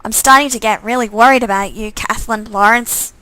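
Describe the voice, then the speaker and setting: Caring visual novel father, played by a woman, anxious and earnest, forceful bright delivery, dry close sound. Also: on the high side, fairly even intonation, fairly quick, no room sound, low-quality recording. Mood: worried, pressing. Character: worried, caring middle-aged father.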